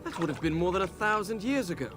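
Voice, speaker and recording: A man's voice: sits high, big pitch swings, fast talker, over music. A man playing a young, bold and wry adventuring prince in a video game. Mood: questioning, suspicious. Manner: wry, questioning.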